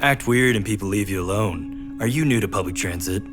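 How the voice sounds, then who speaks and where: A man's voice: on the low side, brisk, over music, close to an everyday voice. An actor playing an aloof, cryptic male loner in an audio drama, speaking in a dry, condescending manner.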